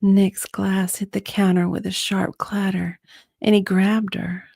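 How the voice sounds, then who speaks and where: A woman narrating a dramatic romance reading in a breathy way, often dropping to a whisper. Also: medium pitch, level tone, a little slow, darkish tone, dry recording, clean studio sound.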